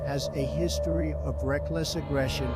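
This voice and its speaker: Male leader, formal address, grave, slightly high voice, music behind. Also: fairly even intonation, normal speed. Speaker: national leader giving an address. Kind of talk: formal televised speech. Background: loud music.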